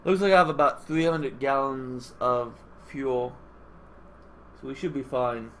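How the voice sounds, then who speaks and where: A man's voice: mid-range voice, fairly even intonation, measured pace, fairly bright tone. A man with a nasal, congested voice giving casual, rambling commentary as he hosts a gaming video, musing aloud. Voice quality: nasal, stuffy nose. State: congested nose. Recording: clear background noise on mic.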